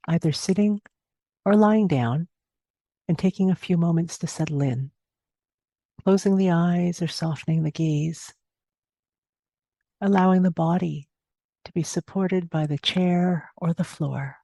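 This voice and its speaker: Low-key mellow woman guiding meditation. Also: no echo. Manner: gentle, unhurried instruction with long pauses. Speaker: meditation teacher. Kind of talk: guided body scan meditation narration.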